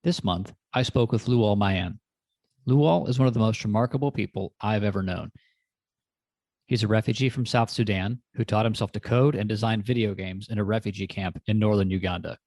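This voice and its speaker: A man hosting a podcast, reading a measured intro and then chatting with a guest in a slow, measured way. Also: fairly low pitch, a little mellow, no room sound. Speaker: podcast host. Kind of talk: scripted intro, then casual interview.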